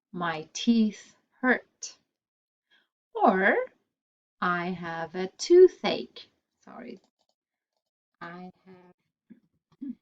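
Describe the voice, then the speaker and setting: Very slow-speaking woman teaching English words. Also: medium pitch, dull audio. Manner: repeats phrases slowly for learners. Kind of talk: slow, deliberate vocabulary lesson.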